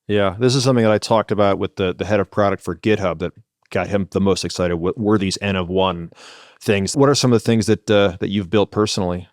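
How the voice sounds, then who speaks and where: A man's voice: fairly low pitch, steady tone, brisk, no echo, studio quality. A man hosting a podcast interview, asking curious questions and often repeating words as he starts a sentence. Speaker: podcast host.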